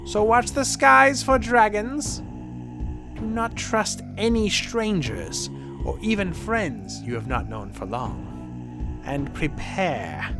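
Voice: mid-range voice, lively intonation, slow pace, music in the background, pitched above the performer's own voice. A performer voicing a dour, domineering man of about seventy, a grave town official proclaiming in a fantasy audio drama. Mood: ominous warning. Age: around seventy.

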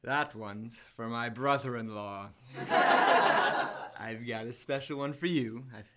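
A man's voice: medium pitch, sing-song, slow pace, sharp tone, a little hiss or hum, exaggerated voice. A young man playing a jovial, teasing next-door neighbor in an old-time radio comedy, with a playful, folksy manner. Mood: chatty. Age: teens to young adult. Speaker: student actor. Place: school library, indoors, live stage reading.

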